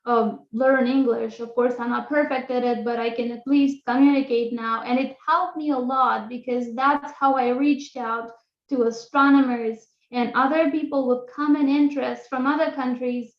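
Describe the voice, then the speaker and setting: A woman's voice: sits high, steady tone, moderate pace. A young woman from Kosovo, a native Albanian speaker with an Albanian accent, telling her own story informally as a guest speaker in a talk. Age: young adult. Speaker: guest speaker, astronomy graduate student. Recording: roomy echo, dull muffled online audio.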